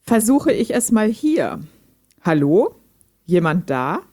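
A woman's voice: mid-range voice, sing-song, slow, music in the background. A woman playing a lonely, reserved hobby programmer in an audio drama, speaking in a dry, direct way. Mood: curious, friendly. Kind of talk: amateur audio drama dialogue, read chat.

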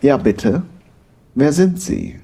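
A stern male senior lawyer played by a man in an audio drama. His husky, mid-pitched voice is curt, authoritative and dismissive. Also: wildly swooping pitch, takes it slowly, warm tone, heavily acted. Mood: irritated. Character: stern male law firm boss, dismissive. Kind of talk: German audio drama acting.

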